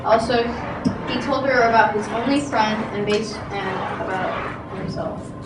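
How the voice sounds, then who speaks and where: A woman's voice: medium pitch, measured pace. A female narrator telling a story in an amateur readers theatre performance. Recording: echoey room from far, loud noise.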